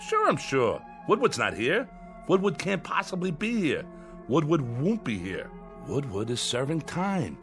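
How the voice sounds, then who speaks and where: Radio drama role: irritable male crook, lively intonation, gruff slangy snap, suspicious. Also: a little high, unhurried, faint music behind, full character voice. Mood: sour, insistent. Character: edgy, irritable small-time male crook, suspicious and superstitious.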